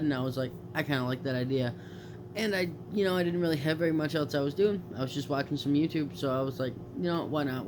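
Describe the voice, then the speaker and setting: A man's voice: fairly high pitch, noisy background. A young man with a stuffed-up nose chatting casually about video games, rambling with lots of fillers and self-corrections. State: stuffed up from allergies. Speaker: gaming YouTuber. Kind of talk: casual late-night video chat.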